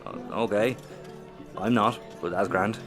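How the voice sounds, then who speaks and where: A man's voice: lowish voice, a little slow, over music, natural voice. An actor with an Irish accent playing a roguish, down-on-his-luck space captain in an audio comedy, flippant and rueful. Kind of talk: audio sitcom acting.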